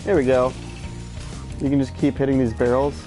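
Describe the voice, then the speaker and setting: A man's voice: mid-range voice, level tone, moderate pace. A stuffy, sleep-deprived man in his thirties rambling and swearing as he plays a game. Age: 30s. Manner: rambling, profane, opinionated. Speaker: streamer. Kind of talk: casual gameplay chatter. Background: game music.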